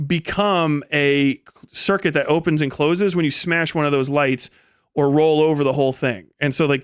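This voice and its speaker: A man's voice: fairly high pitch, clear, resonant tone, dry recording, clean studio sound. A middle-aged man telling stories in a casual, rambling livestream style, with lots of swearing and slang. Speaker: streamer telling stories from military service.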